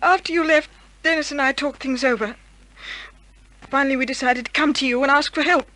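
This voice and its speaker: An actress playing an anxious, pleading, secretive woman in a 1950s radio crime drama, speaking with earnest, dramatic pauses. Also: sits high, bright, forceful tone, no room sound, rough recording. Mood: anxious explaining. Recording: old radio drama recording with music.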